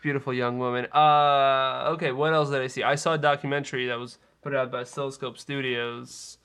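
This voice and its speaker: A man's voice: mid-range voice, flat delivery, brisk, fairly bright tone, clear, resonant tone. A man co-hosting a podcast, chatting casually about movies with wry, dry joking asides. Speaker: podcast co-host, film reviewer.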